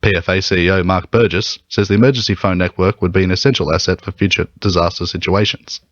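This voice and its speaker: A man's voice: deep voice, fairly even intonation, quick pace, a bit bright, no room sound, dull audio. A man with an Australian accent reading scripted radio news.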